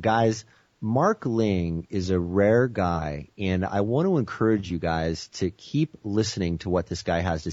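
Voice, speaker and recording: Deep husky American man on a call. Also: a little mellow, no room sound, lo-fi sound. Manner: enthusiastic pitchy speaker, frequent you-knows. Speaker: guest. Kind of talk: teleconference interview.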